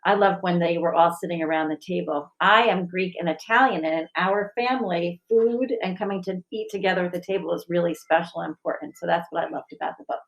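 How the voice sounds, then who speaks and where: A woman reading and chatting for a school audience in an expressive, measured storybook style. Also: on the low side, creaky, poor audio. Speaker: school staff member reading aloud. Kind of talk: children's storybook read-aloud and informal discussion.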